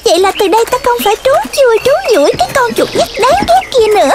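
Squeaky, dramatic tiny cartoon creature. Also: sing-song, fast, very noisy. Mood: relieved, gleeful. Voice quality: childlike, very high. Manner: theatrical, exclamatory. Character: tiny talking creature, dramatic, easily offended, fleeing a mouse. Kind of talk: children's animated cartoon voice acting.

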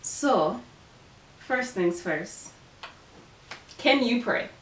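A woman's voice: a little high, expressive, extremely slow. A nineteen-year-old woman hosting a casual faith chat with friends on a couch, talking animatedly and laughing often. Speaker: host. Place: living room, group on a couch. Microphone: camera mic at a distance. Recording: echoey room, distant mic, faint noise.

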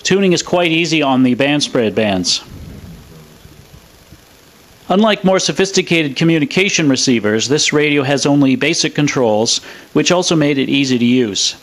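A man's voice: on the high side, lively intonation, moderate pace. A man presenting in a scripted, explanatory narration style. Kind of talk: scripted narration of a radio restoration demo.

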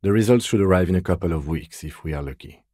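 A man playing a composed, meticulous police lieutenant detective in a video game, speaking in a precise, deadpan, politely reserved way. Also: low voice, fast, no room sound, clean studio sound. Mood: dry, matter-of-fact. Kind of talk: video game character dialogue.

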